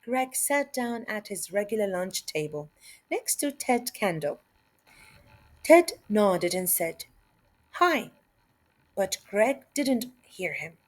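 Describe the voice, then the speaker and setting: Female teacher, mid-pitched, unhurried read-aloud delivery for kids, deliberate and expressive, with explanatory asides. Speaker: teacher reading a story aloud. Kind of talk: read-aloud lesson for children.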